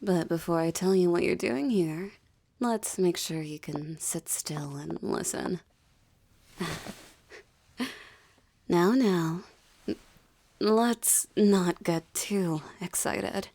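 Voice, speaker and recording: A woman playing a seductive, gloating succubus demoness in an intimate audio drama, teasing and haughty with theatrical delivery and breathy whispers. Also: fairly low pitch, slow, warm tone, exaggerated voice. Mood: teasing, menacing.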